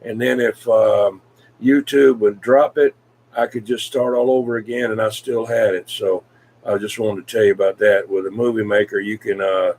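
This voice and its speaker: A man in casual CB radio chat, talking in a folksy, rambling, hesitant way with frequent pauses. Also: lowish voice, steady tone, darkish tone. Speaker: CB radio hobbyist, video maker.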